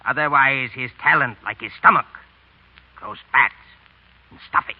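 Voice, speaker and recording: An actor playing a frank, principled middle-aged French painter, speaking with earnest reproach in the manner of 1930s radio drama. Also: male voice, medium pitch, slightly rough. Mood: earnest, regretful. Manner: earnest, reproachful, deliberate phrasing. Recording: tinny, narrow 1930s radio broadcast.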